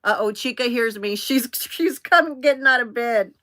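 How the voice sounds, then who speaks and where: A woman in her late fifties with a high, bright voice, hosting a live stream. She chats casually in a bubbly, scattered way, excitable as she talks to her viewers. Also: normal speed. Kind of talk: casual chat, greeting viewers by name.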